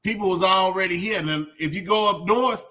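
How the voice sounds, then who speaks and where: A man with a high, bright, forceful voice and a Southern US accent. He talks like a streamer reacting to a clip, casual and colloquial. He pushes his points with emphasis and rhetorical questions. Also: fairly quick. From southern United States. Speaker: YouTube reaction host.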